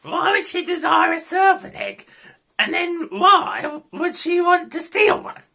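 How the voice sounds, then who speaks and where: A man reading a children's story, voicing a small, excitable, nosy character who blurts out questions. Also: very high voice, a little slow, vocal fry, pitched above the performer's own voice, full character voice. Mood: baffled, challenging.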